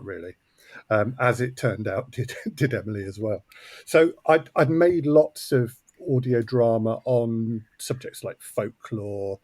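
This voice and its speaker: A man's voice: on the low side, a little mellow, no room sound. A man speaking as a podcast guest in a conversational interview, choosing his words thoughtfully and with some hesitation. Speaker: podcast guest, audio drama producer.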